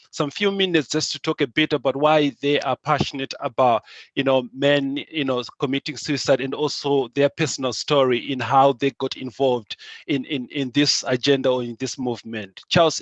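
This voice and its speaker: A man's voice: medium pitch, fairly bright tone, no room sound. A man moderating a panel, polite with hesitant fillers, over a video call.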